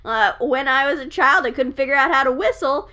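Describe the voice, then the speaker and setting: A young woman with a very high-pitched voice, co-hosting a casual chat and laughing often. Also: brisk, pushed and bright. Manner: giggly, bursts into laughter often. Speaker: podcast co-host.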